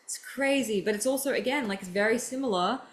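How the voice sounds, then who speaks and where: Animated, swearing woman podcast host. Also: on the high side, fast talker. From Greek heritage. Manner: animated, swears freely, thinks aloud. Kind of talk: casual interview chat.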